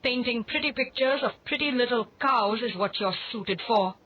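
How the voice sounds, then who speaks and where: A man voicing an indignant, shrill genteel lady who scolds in a high falsetto. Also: normal speed, a little hiss or hum. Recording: dull lo-fi recording.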